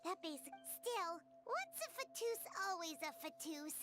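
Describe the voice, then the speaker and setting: A woman's voice: very high-pitched, sing-song, normal speed. A woman voicing a small, childlike companion sprite in a video game, chatty, cheeky and bubbly. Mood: puzzled, wary. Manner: bubbly, exclamatory, teasing. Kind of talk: animated video game voice acting. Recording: game music behind the voice.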